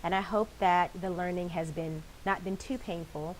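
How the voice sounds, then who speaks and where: A woman instructor talks through her weekly course announcement with a congested, head-cold voice sitting a little low in pitch. She speaks conversationally, pausing on frequent ums. A faint hiss sits under the recording. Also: fairly even intonation, rough recording.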